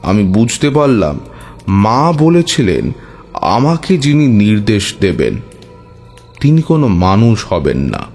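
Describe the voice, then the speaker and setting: An actor playing a tantric who recounts his own eerie adventures in a suspenseful horror audio story, with hesitant pauses. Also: on the low side, very animated, a little mellow, soft background music. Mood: pondering, suspenseful.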